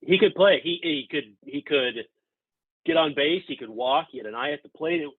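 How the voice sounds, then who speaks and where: A man's voice: a little high, moderate pace, husky. An American man hosting a sports podcast over a remote call, chatting casually and putting questions to a guest. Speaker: podcast host. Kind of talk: casual sports interview chat. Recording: thin, phone-like remote call audio.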